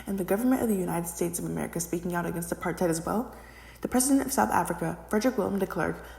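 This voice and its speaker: A woman's voice: on the low side, racing, gravelly, a little mellow. A young adult woman reading a scripted educational narration as the narrator, recorded in an echoey room.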